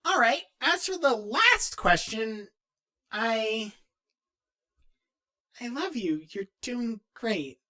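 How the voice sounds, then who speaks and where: Woman voicing a bright, high, earnest awkward youngster. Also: sing-song, very slow, pauses often, full character voice. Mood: bashful, flustered. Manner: hesitant, rambling, flustered, encouraging. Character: young, earnest, awkward, kind-hearted character answering fan questions. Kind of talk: in-character question-and-answer, casual improvised-sounding chat.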